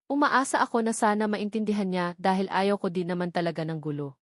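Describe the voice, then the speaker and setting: A woman's voice: mid-range voice, brisk, dry recording, clean studio sound. A woman reading a first-person romance novel as a scripted audiobook narration.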